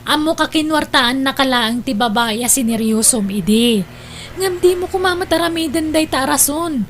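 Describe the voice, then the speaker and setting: A woman's voice: sits high, lively intonation, moderate pace, slight background noise. A woman with an Ilocano accent playing an exasperated, scolding older sister in an audio drama. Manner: nagging, reproachful.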